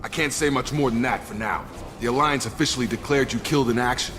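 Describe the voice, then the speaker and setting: A man playing a steady, plain-spoken soldier and security chief in a video game. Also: mid-range voice, quick pace, lightly acted. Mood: urgent. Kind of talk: video game character acting. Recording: noisy battle ambience behind.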